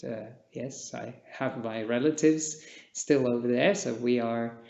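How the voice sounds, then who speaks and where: A man from Switzerland, a native Czech speaker, giving a gentle, halting dharma talk as a Buddhist meditation teacher, with long pauses. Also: medium pitch, creaky. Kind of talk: unscripted dharma talk at a retreat. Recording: echoey room, dull lo-fi sound.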